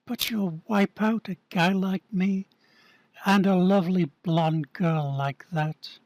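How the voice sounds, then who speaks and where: A man's voice: high-pitched, fairly even intonation, slow pace, darkish tone, no echo. A man playing an earnest, desperate man pleading for his life in a staged play reading. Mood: pleading, indignant.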